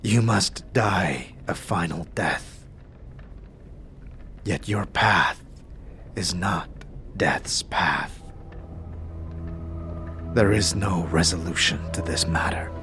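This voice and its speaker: A man voicing a weary, solemn warrior enslaved by his oath, speaking in grave, formal, halting phrases for an audio drama. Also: low-pitched, bright, forceful tone, faint music behind, heavily acted. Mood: pained, grave.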